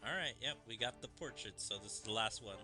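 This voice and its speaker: A man's voice: mid-range voice, fast, sharp tone, soft background music. A man giving casual commentary as the host of a gaming video.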